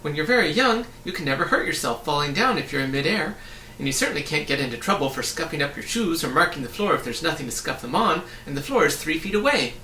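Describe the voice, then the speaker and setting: A man's voice: on the high side, expressive, fast talker, hardly any pauses, pushed and bright, reverberant, far from the mic, a little hiss or hum, pitched above the performer's own voice, poor audio. A man voicing a breezy, opinionated young boy in a storybook, explaining things in a chatty, matter-of-fact way. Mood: self-assured. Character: breezy, opinionated young boy who floats in mid-air.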